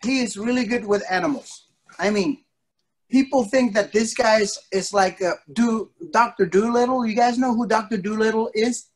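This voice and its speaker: A middle-aged man telling a playful story to children as their instructor, theatrical, with drawn-out emphasis and pauses. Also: sits high. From an island country. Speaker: martial arts instructor telling stories to children. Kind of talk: playful interactive storytelling for kids. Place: indoor wood-panelled studio, on a video call.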